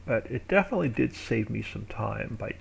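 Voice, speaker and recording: Gravelly-voiced male streamer, relaxed and rambling, talking himself through his work at a home desk mic. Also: lowish voice, a little fast. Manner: thinks aloud while working, relaxed and rambling. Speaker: live streamer building a game map. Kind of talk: casual live-stream commentary.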